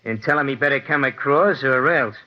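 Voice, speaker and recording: A man's voice: mid-range voice, a little fast, natural voice. Actor as menacing tough guy, old radio. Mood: menacing, impatient. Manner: belligerent, clipped slangy talk. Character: pushy, menacing tough-guy ex-convict brother. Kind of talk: 1940s radio drama acting. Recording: muffled old radio recording.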